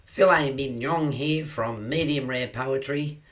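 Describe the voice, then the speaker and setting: A man with a croaky voice from a cold, hosting his poetry channel and reciting his own rhyming verse in a measured spoken-word delivery. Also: mid-range voice, a bit bright. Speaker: poet and channel host.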